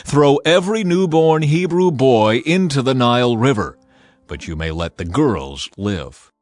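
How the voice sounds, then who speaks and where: A man's voice: medium pitch, expressive, measured pace, no echo, lightly acted. A man voicing a stern, commanding ancient king. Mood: cold command. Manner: commanding, demanding. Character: stern, ruthless male king of ancient Egypt. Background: background music.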